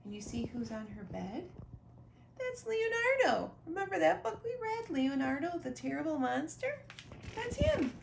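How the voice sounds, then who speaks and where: A woman reading a children's picture book aloud expressively, pausing to talk directly to young listeners about the pictures. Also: high voice, big pitch swings, creaky. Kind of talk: animated children's storybook read-aloud with asides. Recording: roomy echo, faint background noise.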